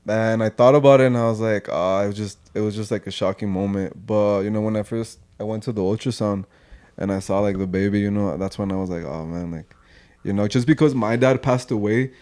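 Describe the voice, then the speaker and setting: A man's voice: on the low side, fairly quick, a little mellow, clear, resonant tone. A young man from Mexico, a podcast guest chatting casually, laid-back, filling his talk with "like" and "man" and slipping into Spanish now and then. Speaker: podcast guest, social media creator. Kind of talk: casual chat.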